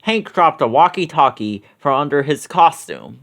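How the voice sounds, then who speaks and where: A reader narrating a children's story aloud in an expressive read-aloud style. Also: mid-range voice, moderate pace.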